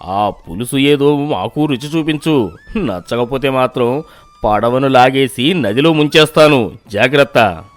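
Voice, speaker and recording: A man voicing a stern, proud middle-aged village landlord in an animated storybook, gruff and commanding. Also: medium pitch, a little slow, no echo, lightly acted. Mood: stern, threatening. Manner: gruff, threatening, then gushing.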